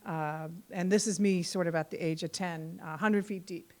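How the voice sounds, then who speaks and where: A woman's voice: lowish voice, fairly even intonation, normal speed, darkish tone. A woman presenting her own artwork to a small audience, informal, with many repeats and fillers. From Bahamas and Virgin Islands. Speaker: guest artist presenting her work. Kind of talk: casual presentation talk. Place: community meeting room.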